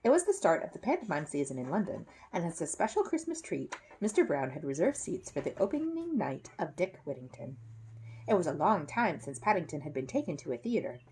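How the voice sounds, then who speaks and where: A woman narrating a children's story with expressive, dramatic emphasis. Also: low voice, breathy edge, low-quality recording. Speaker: storybook reader. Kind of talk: animated children's story narration with character voices.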